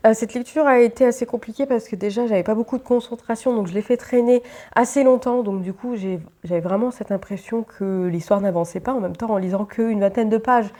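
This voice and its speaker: A woman's voice: on the high side, brisk, mellow. A woman book vlogger with a stuffy, nasal voice from a cold, chatting casually to her viewers with many fillers. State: congested, stuffy nose from a cold. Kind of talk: casual chat to camera.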